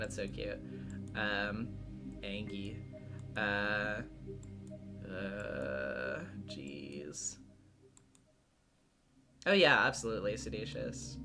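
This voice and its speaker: A man hosting a casual livestream, talking in a halting way and often trailing off mid-thought. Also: fairly low pitch. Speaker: streamer and voice actor hosting a visual novel readthrough. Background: background music.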